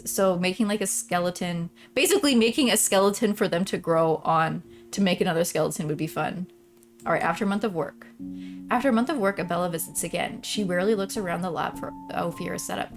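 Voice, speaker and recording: A woman streamer playing a visual novel, switching between reading narration aloud and casual, informal commentary. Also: lowish voice. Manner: chatty, informal, often trailing off mid-thought. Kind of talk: casual let's-play commentary mixed with read-aloud narration.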